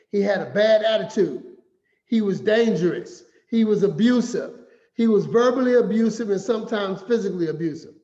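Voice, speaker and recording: A man giving a finance talk as an animated, playful storyteller who acts out quotes. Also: sits high. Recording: echoey room, slightly dull sound.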